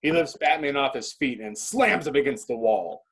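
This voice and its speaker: A man with a husky voice reading stage directions as dramatic scripted narration. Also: mid-range voice, animated, normal speed, a bit bright.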